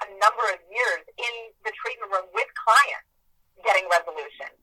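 An earnest woman speaks as an interview guest, pressing her points and circling back to the same phrases. Her voice sounds bright and sharp. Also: mid-range voice, breathy edge, poor audio. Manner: earnest, emphatic, repeats key phrases. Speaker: guest, author and healer. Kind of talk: podcast interview, earnest self-promotion.

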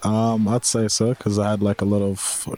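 A man's voice: fairly low pitch, level tone, moderate pace, dark tone. A man giving casual, tentative interview answers, hedging as he goes. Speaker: refugee interviewee.

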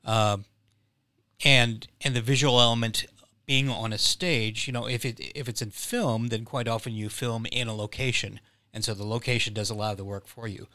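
Bright-voiced man explaining at length. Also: mid-range voice, steady tone, dry recording. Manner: measured, explanatory, frequent uh fillers. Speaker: playwright. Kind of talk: podcast interview.

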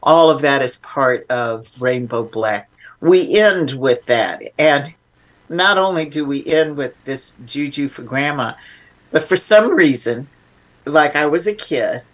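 An older woman speaking as an interview guest, reflective and conversational, thoughtful and emphatic with halting restarts. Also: extremely low pitch, lively intonation.

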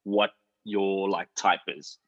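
A man's voice: sits low, little pitch movement, slow, fairly bright tone, no echo, dull audio. A man chatting casually as a working actor giving advice, talking in an emphatic, slangy way with plenty of swearing. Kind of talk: casual chat.

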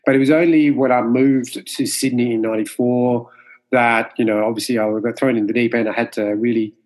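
Man in his forties, from Mauritius, Creole native speaker, measured, halting, interview guest. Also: mid-range voice, steady tone, a little mellow, rough recording. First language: French Creole. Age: 40s. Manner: measured, with many fillers and restarts. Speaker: martial arts instructor, guest. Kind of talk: reflective interview answers.

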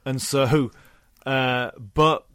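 A man's voice: medium pitch, fairly even intonation, drawn-out words, fairly bright tone, smooth, dry recording. Chatty man in his thirties, dry sound. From Britain. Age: 30s. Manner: chatty, self-deprecating, swears casually, laughs often. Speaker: podcast host. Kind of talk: casual chat.

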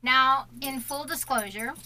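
Slow, bright-voiced female interviewer. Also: high voice, a little hiss or hum. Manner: slow, chatty, laughs often. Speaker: interview host. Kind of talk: casual live interview. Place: home studio with ring lights.

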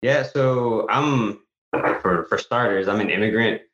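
A man from El Salvador with a very deep voice tells his story earnestly, often restarting his sentences. The sound is echoey and a little dull, like a room heard over a remote call. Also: measured pace, fairly bright tone. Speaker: guest, actor and author. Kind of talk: conversational interview storytelling.

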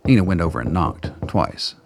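A man's voice: low voice, lively intonation, normal speed, vocal fry. A man reading scripted narration for a radio drama as its narrator.

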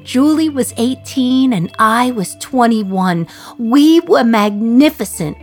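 A woman playing an imprisoned communist young mother in a radio play monologue, wry, confiding and reflective, with dramatic pauses. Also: fairly high pitch, animated, darkish tone, soft background music. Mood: proud, glowing. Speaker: actor in a solo radio play.

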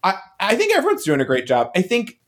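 A man's voice: high voice, huge pitch swings, quick pace, a bit bright. A man co-hosting a podcast in casual, joking chat, with a campy, hyperbolic way of talking. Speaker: podcast co-host.

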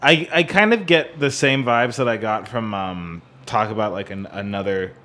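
A man co-hosting a podcast, talking casually about music in a halting way as he searches for words. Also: fairly low pitch, bright, forceful tone. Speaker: podcast co-host. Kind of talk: casual music discussion with some theory.

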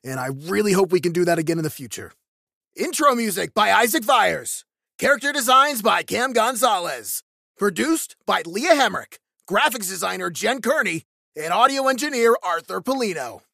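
Female podcast credits reader, effusive, creaky voice, lively pitch, dry recording. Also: normal speed, no echo. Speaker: podcast producer reading credits.

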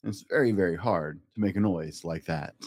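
A man reading a rhyming children's picture book aloud in a playful, expressive way, as a storytime host. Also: sits low, a little fast, no echo. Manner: playful, acts out animal and object sound effects.

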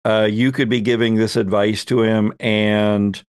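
A man's voice: fairly low pitch, flat delivery, unhurried, clear, resonant tone, dry recording, clean studio sound. A man hosting a tabletop roleplaying game as game master, narrating casually in an easygoing, chatty way.

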